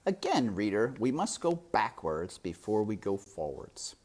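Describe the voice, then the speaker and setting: A woman reading a story aloud with dramatic, suspenseful narration that is sometimes hushed. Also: rumbling low, sing-song, unhurried. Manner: suspenseful, hushed at times, theatrical. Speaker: storybook reader.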